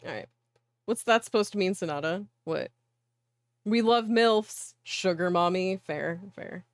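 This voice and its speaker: Slow-paced woman game streamer, playful and chatty. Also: lowish voice, dry recording, clean studio sound. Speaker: livestream host playing visual novels. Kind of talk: casual game stream chat with reading aloud.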